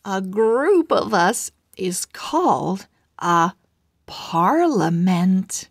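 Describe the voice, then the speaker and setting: A cheerful young storybook owl girl, voiced by a woman shares her diary. She speaks very slowly, stressing words with big animated swings in pitch. She is chatty and emphatic throughout. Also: husky, no echo, studio quality, exaggerated voice.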